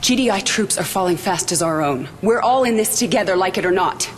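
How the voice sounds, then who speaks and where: An actress playing a resolute, defiant military faction leader in a video game cutscene, speaking formally and with measured authority. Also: female voice, medium pitch, expressive, a little hiss or hum, close to an everyday voice. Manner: formal, measured briefing delivery.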